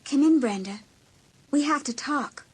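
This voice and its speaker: An actress playing a hurt, insecure, apologetic teenage girl in an audiobook drama, with an emotional, halting, pleading delivery. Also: high voice, lively intonation. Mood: cold, composed.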